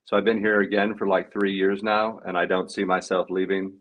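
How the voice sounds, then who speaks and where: A man's voice: low voice, flat delivery, fairly quick, a bit bright. A man of about forty on a video call panel, a life coach sharing advice in a reflective, loose way with many fillers. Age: 40s. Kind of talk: casual panel chat.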